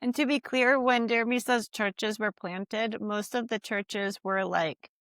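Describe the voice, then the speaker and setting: A woman from Costa Rica chats as a podcast guest, her talk full of little fillers. Her voice comes through smooth and clear, with a dry, close sound. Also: mid-range voice, steady tone, a little slow, fairly bright tone, dry recording. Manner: chatty, many fillers. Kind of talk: casual conversation.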